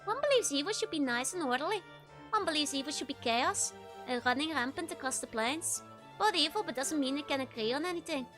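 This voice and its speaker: A woman's voice: high voice, expressive, fairly quick, over music. A Dutch-accented woman reading the lines of a weary male former sellsword, with a light dramatic tone. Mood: grim storytelling.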